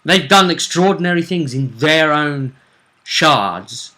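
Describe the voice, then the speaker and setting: A man's voice: on the high side, lively intonation, slow, bright, forceful tone, rough recording. A man hosting a tabletop role-play show, casually talking through match-ups and often rephrasing as he explains.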